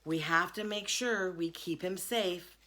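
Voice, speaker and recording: Woman reading as slow, measured preacher dad. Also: fairly low pitch, sharp tone. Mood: calm, explaining. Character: bewildered, measured preacher father of a young girl.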